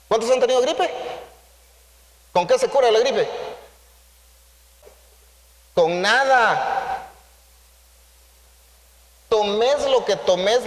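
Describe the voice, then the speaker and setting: A man with a Central American accent preaching conversationally to a congregation, animated and playful, full of rhetorical questions and acted-out dialogue. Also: high voice. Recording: echoey hall with long reverb.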